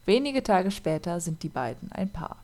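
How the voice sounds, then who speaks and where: A woman's voice: fairly low pitch, sing-song, normal speed, a little mellow. Woman podcaster, casual storyteller, filler-heavy. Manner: chatty, laughs often, many fillers. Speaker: podcast co-host telling a true crime case. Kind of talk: casual podcast chat and case narration.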